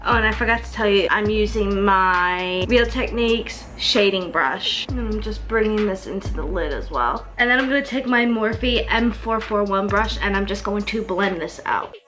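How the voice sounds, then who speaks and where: A woman hosts a tutorial in a casual, chatty style. Her voice sits at an average pitch for a woman. Music plays clearly behind her as she explains things step by step. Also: moderate pace, few pauses, a bit bright. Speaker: makeup tutorial host.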